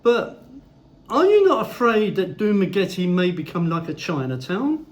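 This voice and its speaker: A man's voice: sits high, big pitch swings, normal speed. An older man, ranting bluntly to camera in a casual vlog and swearing heavily. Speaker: vlogger. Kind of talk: opinionated casual monologue.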